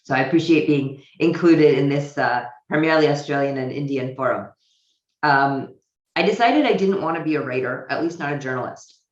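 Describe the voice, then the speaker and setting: An American woman speaks at a virtual conference, her voice muffled and a little roomy, as if heard through a video call. She talks with a thoughtful, hesitant pace, pausing on ums and restarting sentences as she works out her thoughts. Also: sits low. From United States. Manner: thoughtful, many fillers and restarts. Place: home office in Israel.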